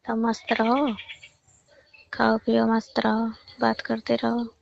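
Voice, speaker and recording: Young woman, gravelly flat delivery, highish voice, casual chat on a muffled phone recording. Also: slow, a little hiss or hum. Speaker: caller. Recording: muffled low-fidelity phone call recording.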